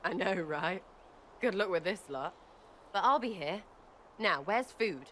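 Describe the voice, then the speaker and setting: A scrappy young female archer with a British accent in a video game. Her bright, forceful voice swoops around, blunt, restless and irreverent. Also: on the low side, expressive, a little slow, a little hiss or hum. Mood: flippant. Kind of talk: video game dialogue acting.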